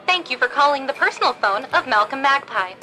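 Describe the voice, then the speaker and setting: A woman voicing a polite, efficient automated phone assistant in an animated production. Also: high voice, fairly quick, noisy background. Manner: formal, bright announcements.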